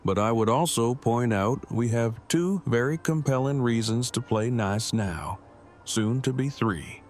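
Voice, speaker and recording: A man playing a formal, wordy, deadpan young father and husband in an audio drama, with precise phrasing. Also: mid-range voice, unhurried, slight background noise, close to an everyday voice. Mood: earnest, formal. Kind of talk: fan audio drama acting.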